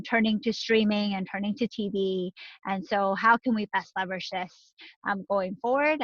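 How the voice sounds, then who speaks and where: A mid-pitched woman speaks steadily over a video call, dropping in frequent fillers. The audio is dry and a little dull. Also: a bit bright, no room sound. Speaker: investment firm representative. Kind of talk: webinar introduction.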